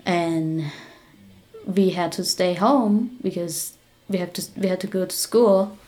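A young woman from Cambodia, answering interview questions in a halting way and searching for words. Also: on the low side, fairly even intonation, warm tone. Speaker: guest, business founder. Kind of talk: casual interview talk.

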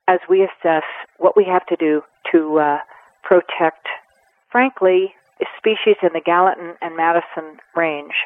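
A woman's voice: lowish voice, no room sound. Earnest woman in her seventies, phone line. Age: 70s. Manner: earnest and emphatic, with measured pauses. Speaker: conservation advocate guest. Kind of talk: radio interview, earnest testimony. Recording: tinny phone line, dry.

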